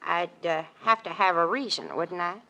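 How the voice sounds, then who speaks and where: A woman's voice: lowish voice, normal speed, vocal fry. A woman playing a stubborn older ranch matriarch in a radio drama, blunt and bossy, with a rural Western American accent. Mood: curt, wary. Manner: blunt, forceful, folksy. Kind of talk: 1940s-50s radio western acting. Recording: noisy vintage radio recording with clicks.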